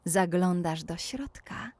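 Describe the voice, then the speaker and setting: A woman narrating a scripted children's bedtime story, speaking deliberately and addressing the young listener directly. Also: on the low side, expressive, darkish tone, breathy edge, dry recording. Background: music.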